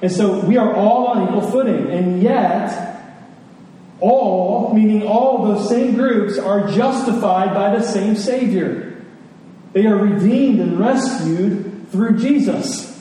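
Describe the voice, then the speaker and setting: A man's sermon carries through a very echoey hall, picked up at some distance from the microphone. His voice sits a little high with a soft, mellow tone. He teaches earnestly, leaning on rhetorical questions and repeated phrases for emphasis. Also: slow pace. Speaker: preacher. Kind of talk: sermon, teaching scripture to a congregation. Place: large reverberant room. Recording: echoey hall, distant mic, faint noise.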